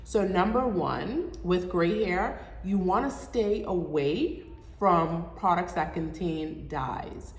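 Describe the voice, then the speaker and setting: Young woman vlog host, nasal with a cold, lowish voice, long reverb around her. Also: lively intonation, takes it slowly. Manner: chatty, informal, explaining step by step. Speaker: show host. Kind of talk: casual hair-care vlog. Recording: echoey room with long reverb.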